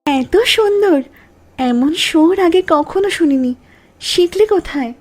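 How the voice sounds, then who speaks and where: A kindhearted young princess from a fairy-tale cartoon. Her voice is very high and girlish, curious and earnest. Soft music plays underneath. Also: lively intonation, takes it slowly, heavily acted. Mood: delighted.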